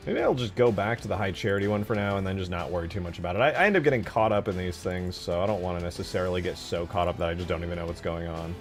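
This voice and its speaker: A man's voice: low-pitched, rapid-fire, hardly any pauses, soft background music. A man giving casual, rambling commentary as a game modder, thinking aloud in slangy, informal speech. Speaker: game modder showing work in progress.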